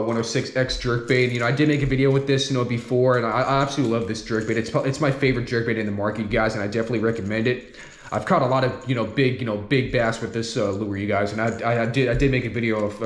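A man's voice: mid-range voice, slight background noise. A young man hosting a casual fishing vlog at home, speaking to a camera on his desk and rambling as he fills his talk with "you guys" and "you know.". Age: young adult. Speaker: fishing channel host. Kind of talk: casual lure review vlog. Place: home room with large window, indoors. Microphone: camera on desk facing him. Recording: echoey room.

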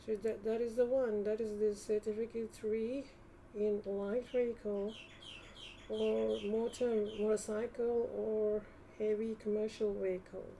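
Woman rambling to herself, kinda high soft-toned voice, hesitant, bit of background noise. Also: low-quality recording. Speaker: vlogger. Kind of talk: casual thinking aloud while browsing.

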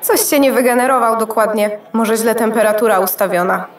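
A woman's voice: on the high side, steady tone, a little fast, a faint crowd, natural voice. A woman playing a gracious, easily flustered motherboard hostess in a children's audio drama, polite, effusive and rambling. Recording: echoey room.

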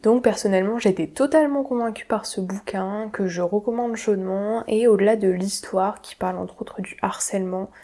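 A young woman chats casually about books she has read, her voice mellow and relaxed. She talks to the camera at home, hedging and restarting with plenty of fillers. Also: mid-range voice, brisk, few pauses. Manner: chatty, self-deprecating, many fillers and restarts. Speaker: book vlogger. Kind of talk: casual book review talk. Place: home room, indoors.

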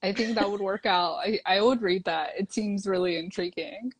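A woman's voice: medium pitch, fairly even intonation, normal speed. A woman who grew up in the American South hosts a casual interview over a video call, eager and laughing along. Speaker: interviewer host.

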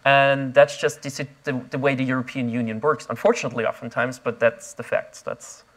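A young man, speaking onstage at a conference, quick and restless with many fillers and restarts, recorded on a lapel mic. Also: medium pitch, fairly even intonation. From Austria. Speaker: invited speaker. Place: conference stage before an audience.

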